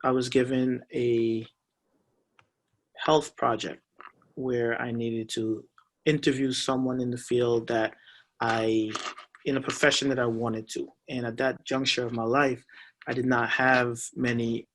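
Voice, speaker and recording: A man from Guyana on a panel, telling his career story in a halting way with restarts. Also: medium pitch, flat delivery, a bit bright. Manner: halting, restarts, uneven emphasis. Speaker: graduate student panelist. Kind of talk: personal account. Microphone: video call.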